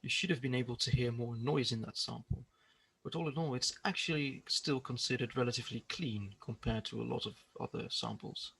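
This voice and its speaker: A man giving a prepared research presentation in a measured, explanatory way. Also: medium pitch, vocal fry, noisy background.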